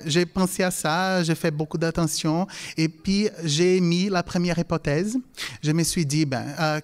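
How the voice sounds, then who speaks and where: Higher-voiced man, native Portuguese speaker, lecturing haltingly. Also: clean tone. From Brazil. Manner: halting, hesitant phrasing with frequent self-corrections. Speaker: language lecturer and conference speaker. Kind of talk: public talk with personal anecdotes.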